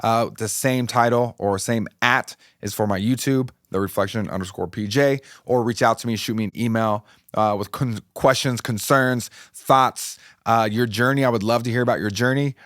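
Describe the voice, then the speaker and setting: A middle-aged American man hosts a motivational podcast on his own. His lower-pitched voice is slightly raspy, and he talks in an informal, encouraging way, repeating phrases to drive them home. The sound is dry, with almost no room on it. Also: normal speed, a bit bright, no echo. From United States, California. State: slightly raspy voice from a passing illness. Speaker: podcast host.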